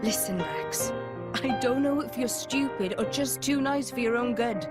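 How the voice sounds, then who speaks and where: A woman's voice: a little high, unhurried, lightly acted. A woman voicing a blunt, teasing young female companion in a video game cutscene, wry in delivery, over music. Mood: wry, sceptical.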